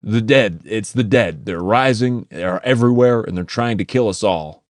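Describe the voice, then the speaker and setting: A man voicing a frantic man shouting an urgent warning to his family, swearing as he goes. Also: low voice, moderate pace, no echo, studio quality. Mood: frantic, yelling. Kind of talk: audiobook character voice.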